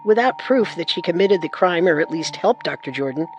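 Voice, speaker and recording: A man narrating a true-crime radio story in an earnest, assertive way over soft music. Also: a little high, expressive, a little fast, poor audio. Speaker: narrator and co-host.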